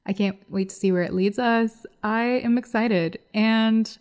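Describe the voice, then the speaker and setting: A woman's voice: on the high side, fairly even intonation, measured pace, a little mellow, clear, resonant tone. A woman podcast host, a little congested, doing a solo card reading in an upbeat, encouraging way. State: congested from a cold.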